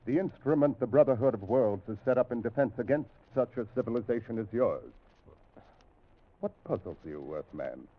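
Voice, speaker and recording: An actor playing a stern alien spaceship captain in an old radio drama, speaking in formal, measured, imperious declarations. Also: male voice, medium pitch, expressive, husky. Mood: formal, commanding. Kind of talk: 1950s radio science fiction drama acting. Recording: muffled, tinny old radio recording.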